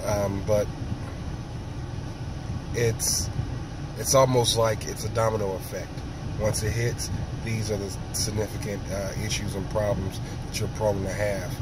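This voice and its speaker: A man with a sore throat and a raspy, hoarse voice, talking slowly in a rambling casual monologue, often clearing his throat. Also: fairly low pitch, flat delivery, very noisy. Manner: rambling, slow, many ums and throat clearing. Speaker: vlogger.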